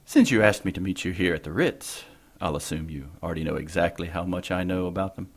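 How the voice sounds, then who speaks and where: Casting: a worldly clergyman for audio drama, low husky voice, courtly and flirtatious. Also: brisk. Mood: wary, courteous. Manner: courtly, formal. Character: suave, flirtatious, worldly male monsignor.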